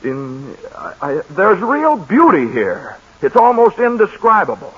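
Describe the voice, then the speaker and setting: A man's voice: sits high, very animated, unhurried, husky, full character voice. An actor playing an eager young insurance salesman in a 1940s radio play, stammering and earnest. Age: young adult. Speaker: insurance man new to town. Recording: muffled 1940s radio broadcast.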